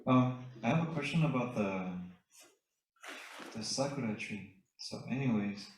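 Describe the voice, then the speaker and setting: A man's voice: medium pitch, a little slow, a little hiss or hum. A man in the audience casually and earnestly asking the panel a question. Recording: distant voice in a very echoey hall.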